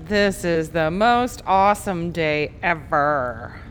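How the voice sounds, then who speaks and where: A woman's voice: on the low side, animated, takes it slowly, slight background noise. A woman voicing a sassy, naive young heroine who wisecracks and is often bewildered.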